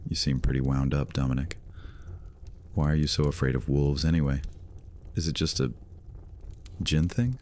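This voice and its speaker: Male actor as a sarcastic, teasing vampire. Extremely deep, casual delivery. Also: normal speed, pauses often, a little mellow. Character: cocky male vampire loner, sardonic and teasing. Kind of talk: fantasy audio drama acting.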